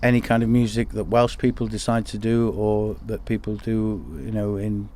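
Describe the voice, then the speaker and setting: A man talking in a relaxed, casual way in a documentary interview. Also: fairly low pitch, moderate pace, a little hiss or hum.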